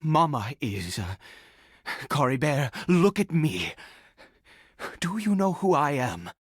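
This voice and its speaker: A slow, highly expressive male voice for a game drama. He is a devoted, desperate father who clings to hope, halting and fervent as his pitch swings widely. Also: breathy edge, dry recording, heavily acted. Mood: overjoyed, fervent. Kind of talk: dramatic video game voice acting.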